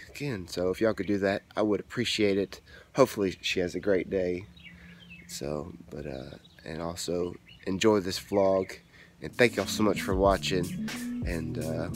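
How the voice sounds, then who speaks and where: Brief: guy in his thirties, sinus-congested, friendly handheld vlog chat, light background noise. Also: sits low. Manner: friendly, chatty, thanks viewers often. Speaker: family vlogger. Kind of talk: casual vlog talk to camera. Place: outdoors in a front yard, then indoors at home. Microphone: phone or camera held at arm's length.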